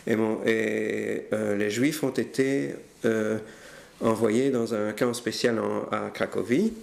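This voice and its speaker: An older man recounting his family's history in a hesitant, halting way, with many fillers and restarts. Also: lowish voice, steady tone, gravelly, darkish tone. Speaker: interviewee telling family history. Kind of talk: hesitant spoken recollection.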